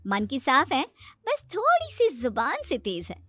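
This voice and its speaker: High-voiced woman narrating a story. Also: wildly swooping pitch, a little slow, sharp tone, no room sound, faint music behind. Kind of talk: scripted children's story narration.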